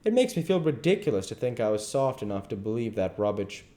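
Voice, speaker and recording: A man's voice: fairly low pitch, very animated, brisk, mellow, pitched above the performer's own voice. A man voicing a domineering, scornful swindler who scolds in folksy rural speech, in a dramatised audiobook. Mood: bitter scorn.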